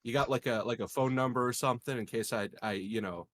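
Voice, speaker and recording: A man's voice: mid-range voice, quick pace, no room sound, clean studio sound. A man playing a tabletop roleplaying game, chatting and improvising jokes, often repeating words as he stammers. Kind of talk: casual joking improv chat.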